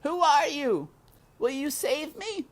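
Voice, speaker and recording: High, plaintive little storybook mouse. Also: male voice, sing-song, slow pace, exaggerated voice. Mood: pleading. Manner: pleading, plaintive cries. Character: small young male mouse, earnest, anguished dreamer.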